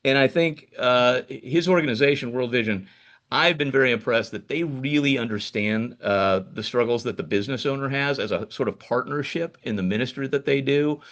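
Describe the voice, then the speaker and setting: A man's voice: mid-range voice, fairly bright tone, lo-fi sound. A man hosting a podcast interview, chatting casually and rambling with many restarts. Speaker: podcast host.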